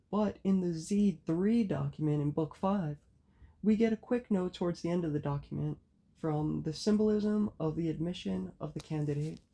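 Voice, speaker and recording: Very dark-voiced raspy woman lecturing. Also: lowish voice. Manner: measured, explanatory delivery. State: hoarse from a cold. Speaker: occult channel host. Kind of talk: spoken lecture.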